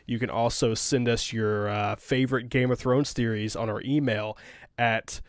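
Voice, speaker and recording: A soft-spoken man co-hosts a casual podcast in a laid-back, self-deprecating way. His pitch sits in the middle and the recording is dry. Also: fairly even intonation, moderate pace, clear, resonant tone, no room sound. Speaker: podcast co-host.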